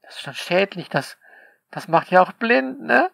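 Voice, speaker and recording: Slow, gravelly-voiced sarcastic man. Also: high voice, big pitch swings, a bit bright, no room sound, clean studio sound. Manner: sarcastic, polemical, full of rhetorical questions. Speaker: author reading commentary on his novel. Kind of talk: spoken monologue to listeners.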